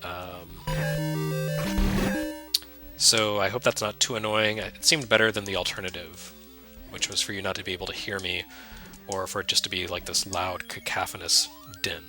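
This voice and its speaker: A soft-spoken man giving loose, self-deprecating commentary while streaming a retro game, full of fillers and restarts. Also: deep voice, little pitch movement, moderate pace, long pauses. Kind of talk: casual live-stream commentary. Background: game music under the voice.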